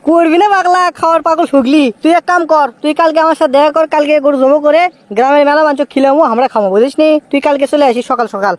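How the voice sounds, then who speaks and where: A woman's voice: sits high, quick pace, few pauses, dry recording, lightly acted. A woman voicing a brash, boastful young village footballer in a comic cartoon, with a rural northern Bangladeshi accent. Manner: brash, boastful, scolding banter.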